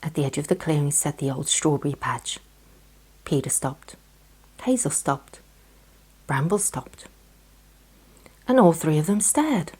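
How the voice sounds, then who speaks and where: A woman narrating a children's bedtime story in a soft, breathy, gentle scripted style. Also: deep voice, unhurried, long pauses.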